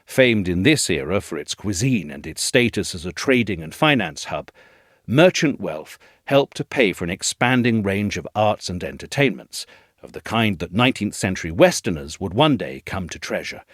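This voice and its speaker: A man reading a scripted audio essay in a measured, articulate storytelling manner. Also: sits low, big pitch swings, a bit bright, dry recording, studio quality. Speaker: essay narrator, reader.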